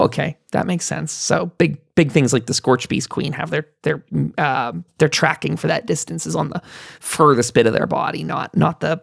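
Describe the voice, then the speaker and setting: A man's voice: fairly high pitch, vocal fry, slightly rough, no room sound. Guy hosting a laid back stream, super crackly voice, dry sound, rambles thru notes w little side comments. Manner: rambling, reads aloud then adds offhand asides. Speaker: podcast host and panel moderator. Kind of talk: casual livestream roundtable, reading and commenting on notes.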